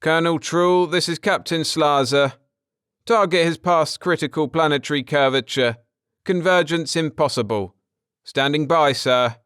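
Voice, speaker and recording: Man playing clipped, high-pitched Martian military officer. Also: unhurried, a bit bright, smooth, no echo, studio quality. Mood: tense, formal. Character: clipped, dutiful Martian military officers barking orders and reports.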